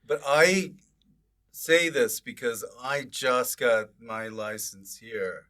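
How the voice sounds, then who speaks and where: A man hosting a podcast, chatting casually and jokingly, prone to breaking into shouted comic bits. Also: mid-range voice, slow. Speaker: podcast host.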